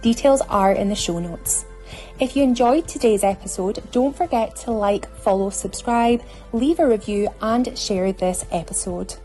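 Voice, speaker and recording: A woman's voice: fairly high pitch, dark tone. Scottish woman hosting a podcast. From Scotland. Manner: deliberate enunciation. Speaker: podcast host and life coach. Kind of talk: solo podcast monologue addressed to listeners.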